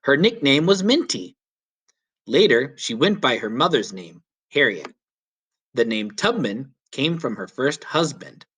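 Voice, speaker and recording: A man narrates a story for young listeners in the style of a teacher reading aloud. His voice sits at a medium pitch and moves up and down in a lively way. He phrases deliberately, leaning on key words for emphasis. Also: husky. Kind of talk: children's read-aloud narration.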